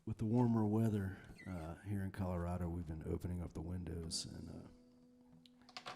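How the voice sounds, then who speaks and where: A man chatting casually between songs on a live stream from his garage, soft-spoken and rambling, with plenty of fillers. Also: low voice, level tone, moderate pace, slight background noise. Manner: rambling, filler-heavy, laid-back storytelling. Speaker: musician hosting a live stream. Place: home garage.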